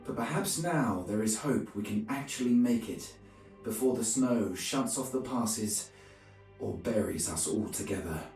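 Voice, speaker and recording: An actor playing a grave, pious medieval crusader who narrates his campaign journal in a solemn, formal, measured way. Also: lowish voice, lively intonation, darkish tone, echoey room, recorded from a distance, poor audio. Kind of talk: scripted dramatic narration. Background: music.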